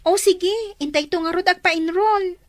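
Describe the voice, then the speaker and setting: Young schoolgirl role: extremely high, eager voice for radio drama. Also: normal speed, dry recording, clean studio sound. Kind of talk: Ilocano radio drama acting.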